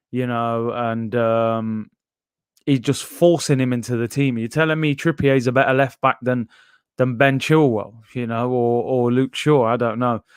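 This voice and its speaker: A man's voice: mid-range voice, clean tone, dry recording. Man, young adult, live stream host, reads chat, slangy, resonant voice, dead dry sound. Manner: chatty, reads out viewer comments, slangy. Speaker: watchalong host reading chat. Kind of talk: casual live stream commentary.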